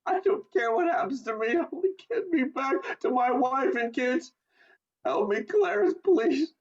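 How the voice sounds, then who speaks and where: A woman's voice: high-pitched, lively intonation, a little slow, heavily acted. A woman playing an emotional young family man in an amateur radio play, recorded over a video call. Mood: desperate pleading. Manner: emotional, exclamatory. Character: George, desperate, then joyful young family man. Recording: muffled video-call audio.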